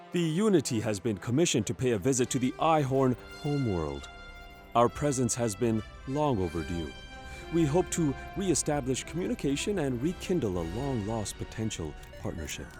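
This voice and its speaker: Man playing spaceship captain, brash, impatient, self-important, theatrical commands, swooping pitch, comedic stage sci-fi. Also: sing-song, moderate pace, over music, lightly acted. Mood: pompous.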